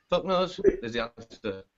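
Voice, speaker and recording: A male band singer with a scratchy, raspy voice, chatting in a laid-back, rambling way in an interview and swearing casually. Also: sits high. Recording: dull lo-fi sound.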